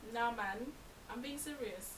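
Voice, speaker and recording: A young woman speaks in a slightly high voice, blunt and joking, easy-going in her delivery. She sounds a little way off the mic, with a clear hiss behind her. It is plain, casual talk among friends. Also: unhurried, echoey room, recorded from a distance. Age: 20s. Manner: blunt, joking, laid-back. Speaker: podcast co-host.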